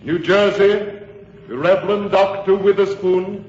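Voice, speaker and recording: An actor playing a formal congressional clerk calling out a roll in a radio drama. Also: male voice, high-pitched, steady tone, a little slow, a little mellow, slight background noise. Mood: official. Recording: dull old radio recording, echoing hall.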